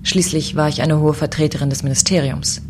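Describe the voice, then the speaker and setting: A woman playing a proud, combative chief space commissioner, crisp and sardonic, in a science fiction radio play. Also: sits low, steady tone, fairly quick, noisy background, natural voice. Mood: brooding, wounded pride. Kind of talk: radio play dialogue and inner monologue.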